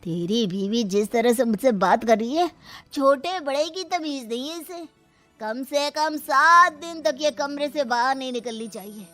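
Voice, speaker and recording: A woman's voice: sits high, a little slow, fairly bright tone, no room sound, soft background music. A woman voicing an angry, domineering middle-aged uncle who scolds and gives orders in a family story. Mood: furious. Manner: scolding, commanding.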